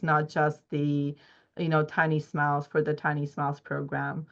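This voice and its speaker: Czech-born woman presenting, low voice, lots of "you know"s, kinda muffled audio. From Czech Republic. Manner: earnest, many "you know" fillers and restarts. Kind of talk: research presentation. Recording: dull lo-fi recording.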